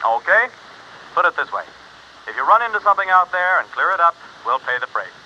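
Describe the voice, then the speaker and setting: A man's voice: fairly high pitch, big pitch swings, brisk, sharp tone, full character voice. An actor playing a businesslike, wary, tight-fisted male insurance man in a 1950s radio drama. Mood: wary, bargaining. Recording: muffled, noisy old radio recording.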